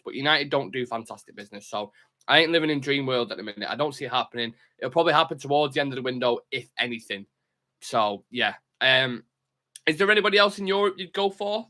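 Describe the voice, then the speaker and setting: A man's voice: mid-range voice, sharp tone. A man hosting a football fan live stream, chatting casually and reading out viewer comments, blunt and opinionated. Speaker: host of a football fan show.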